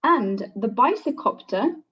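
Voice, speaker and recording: A woman reading a children's picture book aloud in an expressive, deliberate teacher's storytelling manner. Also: fairly high pitch. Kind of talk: scripted picture-book narration. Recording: distant, slightly dull microphone.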